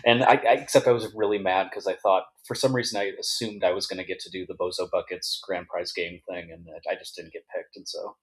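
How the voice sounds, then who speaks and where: Very low-voiced man in casual chat. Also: steady tone, a little fast, hardly any pauses, rough recording. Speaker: podcast guest and producer.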